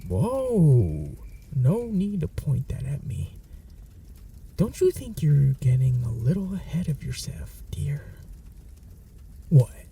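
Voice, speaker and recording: A man performs a smug male vampire in an ASMR roleplay, soft-spoken and mocking as he taunts a hunter. The voice is dark, gravelly and slow, with lively swoops in pitch. It is recorded a little back from the mic over a faint hiss. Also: distant mic, heavily acted. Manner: soft half-whispered, mocking and calm. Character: smug teasing male vampire, dominant and possessive.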